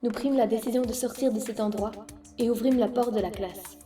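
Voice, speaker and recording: A woman playing an earnest, easily frightened high school girl in an amateur video game dub. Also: fairly quick, mellow, soft background music, close to an everyday voice. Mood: narrating, composed.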